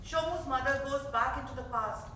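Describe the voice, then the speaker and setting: A woman's voice: high-pitched, brisk, pushed and bright, distant mic. A woman reading scripted stage directions as the narrator of a staged play. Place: theater stage. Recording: echoey theater recorded from afar, faint noise.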